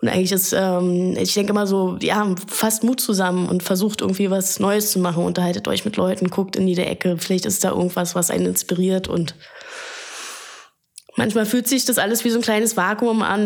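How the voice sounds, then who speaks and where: A woman's voice: mid-range voice, creaky, a little mellow. Chatty woman in her thirties. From Berlin, Germany. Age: 30s. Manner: chatty, earnest, many fillers. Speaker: photographer and guest. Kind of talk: casual interview talk.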